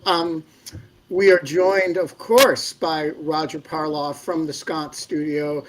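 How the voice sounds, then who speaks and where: Slow, joking male host. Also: a little high, fairly even intonation, a little hiss or hum, low-quality recording. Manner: loose, joking, hesitant with fillers. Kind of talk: live stream discussion. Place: university studio.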